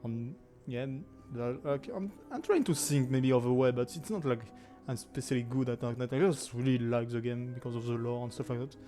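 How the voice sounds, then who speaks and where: Young French-accented man, mellow and rambling. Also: medium pitch, fairly quick, hardly any pauses, soft background music. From France. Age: 20s. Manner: rambling, many fillers and self-corrections, frequent laughs. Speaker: gaming YouTuber. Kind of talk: casual anniversary chat to camera.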